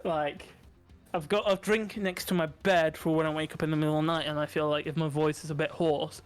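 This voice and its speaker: A man's voice: a little high, flat delivery, brisk, clear, resonant tone, soft background music. A young man co-hosting a podcast from his desk at home, joking crudely and laughing often. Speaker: podcast co-host. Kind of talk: casual banter.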